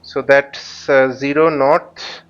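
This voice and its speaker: A man giving live flight simulator tutorial commentary as an instructor, haltingly thinking aloud as he demonstrates. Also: mid-range voice, little pitch movement, fairly bright tone. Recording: dull, lo-fi microphone sound.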